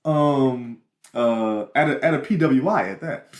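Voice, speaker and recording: A young man from New Orleans with a New Orleans accent, co-hosting a podcast in a halting, filler-heavy casual chat. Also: mid-range voice. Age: young adult. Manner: halting, self-interrupting, many fillers. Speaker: podcast co-host. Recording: roomy echo.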